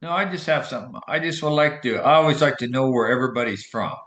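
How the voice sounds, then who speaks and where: A man's voice: medium pitch, fast talker, fairly bright tone, roomy sound. A man taking part in a group call, making casual, inquisitive small talk. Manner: chatty, inquisitive. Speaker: session participant.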